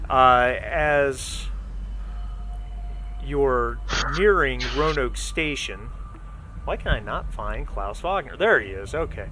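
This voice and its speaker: A man narrating a tabletop game as game master in a halting, conversational way, often correcting himself as he improvises. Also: medium pitch, expressive, bright, forceful tone, a little hiss or hum.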